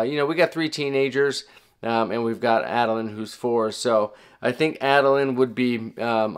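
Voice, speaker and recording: A man's voice: mid-range voice, smooth. A middle-aged man with an ordinary mid-range voice talks to his viewers as a travel vlogger. His delivery is casual and chatty, like someone explaining things to friends, and he is happy to poke a little fun at himself.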